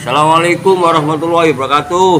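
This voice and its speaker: A man's voice: on the high side, rapid-fire, a crowd in the background. A man asking short questions as an interviewer in a casual on-site interview.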